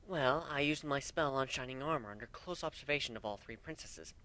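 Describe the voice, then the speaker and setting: A man puts on a smug, playful changeling wife from a fantasy fan story. Her voice is bright and sly, in a middling male register, with a matter-of-fact way of explaining. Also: medium pitch, fairly quick, lightly acted. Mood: smug, amused.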